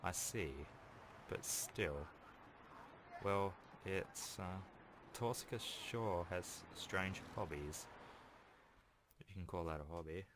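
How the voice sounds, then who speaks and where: A man's voice: sits low, slow, long pauses, background noise. A man hosting a video game playthrough, reading a visual novel aloud with casual, self-deprecating asides. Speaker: let's play host.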